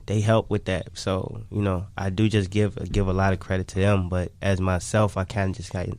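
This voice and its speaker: A man's voice: sits low, flat delivery, moderate pace, a little mellow, no room sound. A man chatting casually as a music artist guest in a radio interview, easygoing and slangy. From Chicago, USA. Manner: easygoing, slangy, repeats "kinda like".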